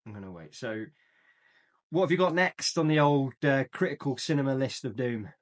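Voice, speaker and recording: A man, co-hosting a casual film chat, jokey and quick to laugh, swearing casually. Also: mid-range voice, animated. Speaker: podcast co-host.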